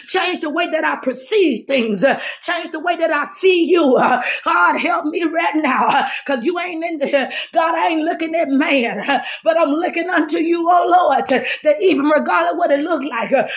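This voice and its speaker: A woman preacher leads fervent prayer, urgent, repetitive and pleading, often raising her voice to a shout. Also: high-pitched. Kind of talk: fervent charismatic prayer and exhortation.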